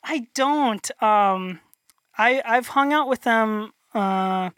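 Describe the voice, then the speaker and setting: A young man from North Dakota with a high voice, chatting as an interview guest, rambling and self-deprecating with many restarts. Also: slow pace, fairly bright tone, clear, resonant tone, dry recording, studio quality. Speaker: illustrator guest. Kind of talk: casual interview chat.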